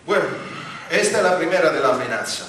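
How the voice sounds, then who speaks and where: A man from Italy with a light Italian accent, giving a public lecture with slides, talking haltingly with many fillers and restarts. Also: on the high side, animated, fairly bright tone, slight background noise. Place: large conference hall. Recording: very echoey hall, voice from far off.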